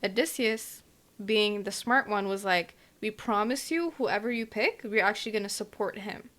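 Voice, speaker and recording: A woman's voice: mid-range voice, moderate pace, a bit bright. A woman co-hosting a podcast, casually retelling an old story with lots of hedges and fillers. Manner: rambling, hedging retelling with fillers. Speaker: podcast co-host. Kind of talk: casual chat.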